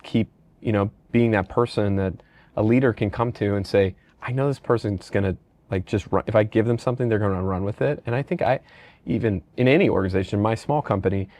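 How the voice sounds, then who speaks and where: Middle-aged man, lowish voice, dry sound. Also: a little fast, darkish tone, dry recording. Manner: casual, rambling anecdotes and questions. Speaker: podcast host, company leader. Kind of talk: conversational interview.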